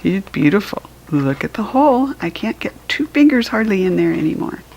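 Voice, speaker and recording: A woman's voice: medium pitch, big pitch swings, normal speed, gravelly, a little mellow, rough recording. An American woman reading a picture book aloud to young children, with simple, instructive asides. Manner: acts out animal sounds while reading.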